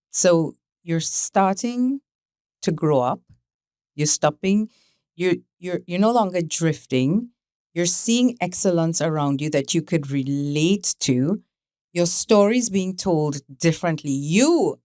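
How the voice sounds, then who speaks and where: A woman's voice: low-pitched, a little mellow, dry recording, clean studio sound. A woman co-hosting a podcast, talking emphatically and often checking for agreement. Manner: emphatic, repeats words, seeks agreement. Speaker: podcast co-host. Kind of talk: casual interview chat.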